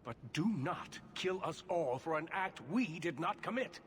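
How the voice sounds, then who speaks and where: An actor playing a grave, pleading older leader of mages in a fantasy video game. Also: male voice, fairly high pitch, expressive, measured pace, fairly bright tone, a little hiss or hum.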